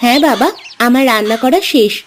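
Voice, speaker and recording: A woman's voice: a little high, fairly quick, lightly acted. A woman voicing a patient, attentive village housewife and daughter-in-law in a cartoon. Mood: caring. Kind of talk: dubbed cartoon dialogue. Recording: music under the voice.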